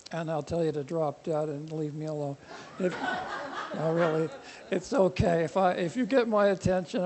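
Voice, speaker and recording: Male presenter, quiet voice, slightly higher pitch, mellow tone, runny nose, rambling and jokey, faint hiss behind. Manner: rambling, joking delivery. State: runny nose from cold air. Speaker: presenter at a conservation society talk. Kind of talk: informal public lecture. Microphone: microphone.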